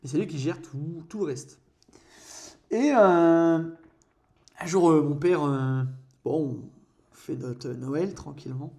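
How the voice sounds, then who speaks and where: A young man co-hosting a podcast, telling a story in a halting way with many fillers and pauses. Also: fairly high pitch, a little mellow. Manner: hesitant, filler-heavy storytelling. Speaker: podcast co-host. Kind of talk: casual chat while painting.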